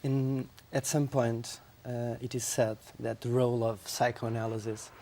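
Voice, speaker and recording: A man whose first language is Portuguese asks questions in English as a television interviewer. He phrases his questions haltingly, with fillers and pauses. His voice sits at an ordinary middle pitch for a man. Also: level tone, warm tone, slight background noise.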